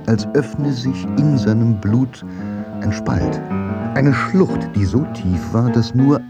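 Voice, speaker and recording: The brooding man who links the scenes of a late-night radio thriller, low and unhurried, dramatically intense. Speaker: narrator of a radio play. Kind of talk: dramatic scripted narration.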